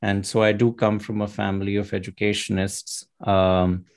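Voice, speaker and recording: A man answering interview questions as an author and academic, speaking in an articulate, measured way with light laughs. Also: fairly low pitch, flat delivery. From United States and Pakistan.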